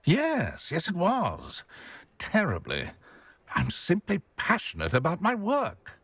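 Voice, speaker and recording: An actor playing a pompous, indignant, vengeful businessman wizard in a video game, theatrical and husky. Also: lowish voice, a bit bright, no echo, full character voice. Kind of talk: video game character acting.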